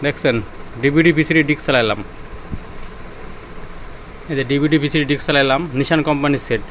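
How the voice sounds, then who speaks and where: A Bangladeshi man pitches goods in an unscripted, informal demo. His voice sits a little high and he talks very quickly, often repeating himself. Some urban background noise runs behind him. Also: fairly bright tone, poor audio. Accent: Bangladeshi Bengali. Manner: repetitive, pointing things out as he goes. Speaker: seller demonstrating used car audio-video equipment. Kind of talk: informal sales demo, off the cuff.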